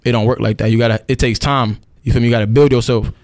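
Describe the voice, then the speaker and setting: A man's voice: medium pitch, steady tone, fast talker, darkish tone, no echo, studio quality. A young man from Cuba, a rapper guesting on a radio interview, talking loosely in slang and often saying "you feel me.". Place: radio studio.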